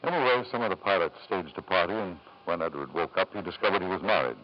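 A man's voice: deep voice, natural voice. An actor playing a domineering, controlling middle-aged husband and father in 1950s radio drama style. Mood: guarded, insistent. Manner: brisk, commanding, controlling. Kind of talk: 1950s American radio acting. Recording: dull old radio broadcast.

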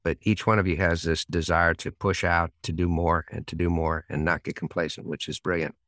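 A man's voice: sits low, little pitch movement, brisk, a bit bright, dry recording, studio quality. A man hosting an interview, speaking conversationally in an affirming, reflective manner. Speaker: podcast host.

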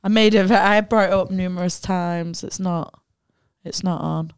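A woman's voice: on the low side, a little slow, smooth, no echo, studio quality. A woman in casual chat as a podcast co-host, telling stories with lots of fillers. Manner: chatty, animated storytelling with many fillers.

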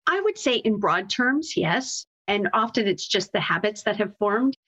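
A woman's voice: medium pitch, lively intonation, normal speed, fairly bright tone, breathy edge. A woman talking conversationally as an interview guest, giving explanatory answers as a marketing executive. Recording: slightly dull, lo-fi audio.

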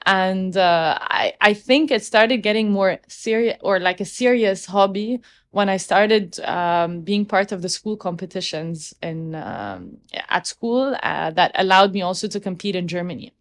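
A woman's voice: medium pitch, lively intonation, unhurried. A young woman from Egypt, a guest in an interview, speaking thoughtfully and often restarting her sentences. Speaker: guest, opera singer. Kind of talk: casual interview conversation.

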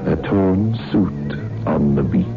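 An actor playing a sardonic, macabre horror storyteller with a slow, sly delivery in an old radio series. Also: male voice, low-pitched, gravelly, over music, exaggerated voice. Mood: sly amusement. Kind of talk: 1960s radio horror narration. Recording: dull old radio broadcast.

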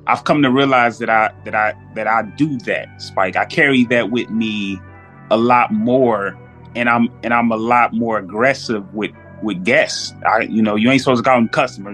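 A man chatting casually on a podcast, telling stories in profane slang and acting out what people said. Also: medium pitch. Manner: animated, profane slang, acts out quotes. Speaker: podcast panelist. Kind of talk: casual storytelling chat.

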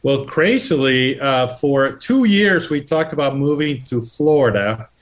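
Middle-aged guest, mid-pitched, earnest storyteller with restarts. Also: male voice, lively intonation, measured pace. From Puerto Rico. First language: Spanish. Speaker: guest, political candidate. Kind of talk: conversational interview.

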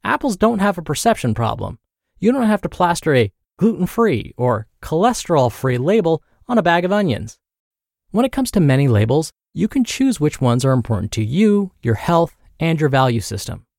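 An American man hosting a podcast, reading and explaining in a clear, instructive, emphatic way. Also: on the high side, very animated, moderate pace, darkish tone, no room sound, clean studio sound. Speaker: podcast host and nutrition teacher. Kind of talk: scripted narration and spoken commentary.

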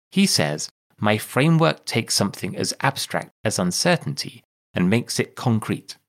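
A man hosting a podcast and coaching clients, reading a scripted introduction in a measured way before interviewing a guest. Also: lowish voice.

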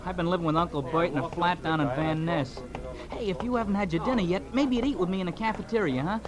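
An actor playing an earnest, worried newsboy, hesitant and slangy, in a 1940s radio drama. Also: male voice, sits high, fast, natural voice. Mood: anxious, earnest. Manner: hesitant, slangy, plain-spoken. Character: worried, earnest streetwise newsboy, polite to adults. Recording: vintage radio, crowd murmur behind.

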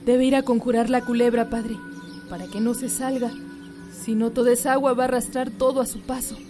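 A woman's voice: a little high, flat delivery, fairly quick, music in the background, natural voice. An actress playing an earnest, fearful village woman in a radio drama.

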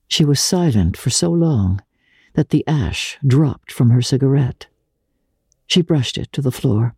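Female narrator, low husky voice, close dry sound; measured, theatrical reading of a literary classic. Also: animated, a little mellow, no echo. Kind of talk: dramatic reading of classic fiction.

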